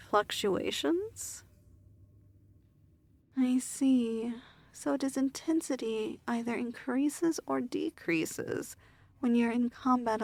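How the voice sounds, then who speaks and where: Woman acting as a haughty demon lady, really slow n formal, kinda high soft voice, asmr fantasy rp. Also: creaky, dry recording, full character voice. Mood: calculating. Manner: formal, stilted, measured diction. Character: imperious, weary female demon lord trapped in a dungeon.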